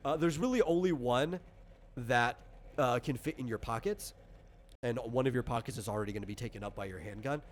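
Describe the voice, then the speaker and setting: A man's voice: medium pitch, fairly quick, slight background noise. A man in the role of game master, casually explaining tabletop game rules in a rambling, conversational way with lots of asides.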